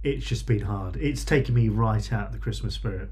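A middle-aged man co-hosting a podcast in casual chat, going from quiet to emphatic as he talks. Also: fairly low pitch, brisk. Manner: lively, swinging from quiet to emphatic. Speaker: podcast co-host.

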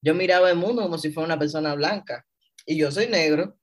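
A man in his thirties with a Cuban accent, a guest on a radio interview, speaking reflectively with many pauses and fillers while he searches for words. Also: a little high. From Cuba. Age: 30s. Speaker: guest, historian.

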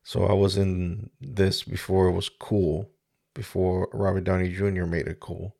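A man in his forties from the Dominican Republic reflects aloud on his own podcast, speaking into a good studio microphone. His voice sits deep and flat, and it drags with gravel as he moves slowly through halting, searching thoughts. He pauses and restarts often. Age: 40s. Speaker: podcast host. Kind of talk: reflective solo podcast monologue. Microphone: studio microphone with mixer.

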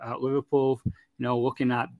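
A man's voice: mid-range voice, little pitch movement, brisk, dry recording. Rambling man, dry sound. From Manchester, England. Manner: rambling, many fillers and restarts. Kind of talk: casual chat.

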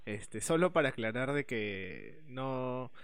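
A man, co-hosting a podcast, talking slowly and earnestly with a touch of humor. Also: medium pitch, animated, a bit bright, clean tone, no room sound. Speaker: podcast co-host. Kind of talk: casual chat.

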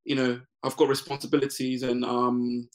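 A man in his forties from Ghana, a business founder talking as a guest in a casual interview, relaxed, laughing often and using many fillers. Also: medium pitch, normal speed.

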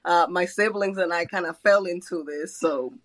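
A woman's voice: on the low side, normal speed. Giggly rambling young woman. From Mexico. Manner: giggly, rambling, laughs often. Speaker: guest artist. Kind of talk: casual chat.

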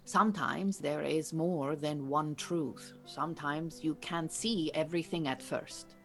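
A woman acting a calm, exacting Iranian refugee beekeeper in an audio drama, speaking in a measured, dry and formal way. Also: low voice, soft background music. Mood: cautioning.

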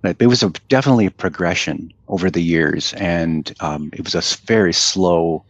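A man's voice: low voice, normal speed, no room sound, lo-fi sound. A man, a guest in a casual interview, talking in a loose, rambling way with fillers and restarts. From United States.

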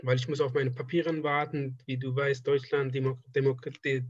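A man's voice: medium pitch, level tone, no echo, highs cut off. A young man in his twenties from Afghanistan whose first language is Persian, talking casually in an interview and often stopping to restart his sentences. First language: Persian (Dari). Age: 20s. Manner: halting, restarts phrases often. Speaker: interviewee, trained draftsman.

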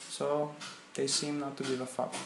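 Young man, Turkish accent, non-native English, halting interview answers, roomy echo. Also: mid-range voice, little pitch movement. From Turkey. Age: young adult. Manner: halting, searching for words in English. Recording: echoey room with faint ambient noise.

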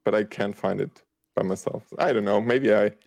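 A man's voice: lowish voice, normal speed, vocal fry, no echo. A young man with a German accent, a native German speaker, chatting hesitantly as a guest on a podcast. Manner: hesitant, soft-spoken, many restarts. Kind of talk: casual podcast chat.

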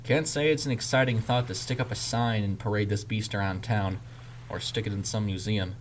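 A man's voice: on the low side, steady tone, brisk, a little hiss or hum, close to an everyday voice. Man acting a firm, dry inspector. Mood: matter-of-fact. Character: firm, curious, joking male inspector.

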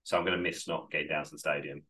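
Deep-voiced man with a lingering cold. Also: flat delivery, racing, pushed and bright. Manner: rambling, self-correcting, upbeat. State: recovering from a cold. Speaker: podcast co-host. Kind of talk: casual chat.

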